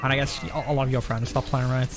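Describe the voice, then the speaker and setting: A man's voice: medium pitch, flat delivery, fast talker. A man live streaming a game, rambling casually and thinking aloud as he comments and chats.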